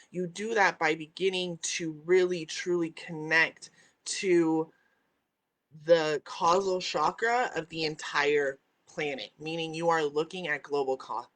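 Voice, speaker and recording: A woman hosting a spiritual live stream from home, teaching with emphatic repeated phrases and a habit of asking "right?". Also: sits low. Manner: reads out and answers viewer comments. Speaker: host. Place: at home by a window, with dogs in the house.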